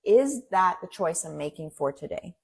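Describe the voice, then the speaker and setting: A woman with a husky, slightly breathy voice, speaking fairly low for her sex, whose first language is Farsi. She talks as an interview guest, earnest and encouraging, in quick bursts. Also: expressive. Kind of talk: casual interview talk.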